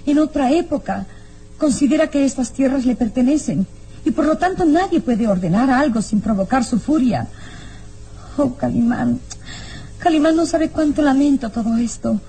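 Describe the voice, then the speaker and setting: A woman's voice: high voice, mellow, slight background noise, rough recording. An actress playing an anxious, dutiful young noblewoman in a melodramatic radio drama, pleading and hesitant. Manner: breathy sighs, pleading, hesitant.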